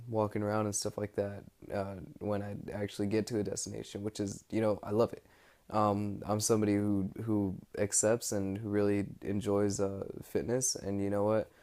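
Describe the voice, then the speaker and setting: A young man with an American accent talks through a personal audio log in a fairly low voice. His delivery is flat and unhurried in melody, rambling casually and stopping often to gather his thoughts. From United States. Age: young adult. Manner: hesitant, pausing often mid-thought. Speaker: study-abroad student keeping an audio log. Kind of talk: casual, rambling spoken diary.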